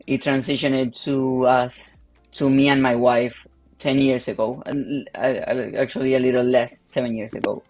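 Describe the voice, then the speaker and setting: A Spanish-speaking pastor from Argentina with an Argentine accent, speaking English in a panel conversation, halting with many fillers. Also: male voice, medium pitch, flat delivery, darkish tone, faint music behind. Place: livestream call.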